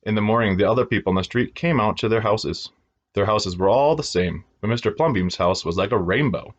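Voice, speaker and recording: A man reading a children's storybook aloud in an expressive storytelling style for young listeners. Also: on the low side, a little fast, lo-fi sound.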